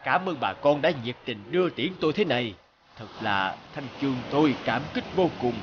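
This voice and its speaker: A man voicing a calm, honest middle-aged district magistrate in a cartoon folk tale, formal and measured. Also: mid-range voice, lo-fi sound, noisy background, close to an everyday voice. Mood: grateful.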